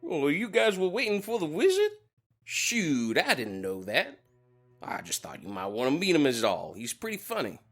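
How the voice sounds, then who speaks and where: Wildly animated folksy frog creature. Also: male voice, a little high, normal speed, faint music behind, exaggerated voice. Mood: cheerful, chatty. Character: small excitable male frog-like druid, folksy and touchy. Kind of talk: audio drama acting.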